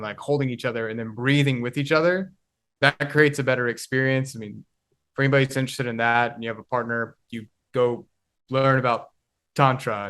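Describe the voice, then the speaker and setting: Male guest, 20s, reflective and halting, plain mid-pitched voice. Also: fairly bright tone. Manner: reflective, halting, searching for words. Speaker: podcast guest. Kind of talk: casual conversation.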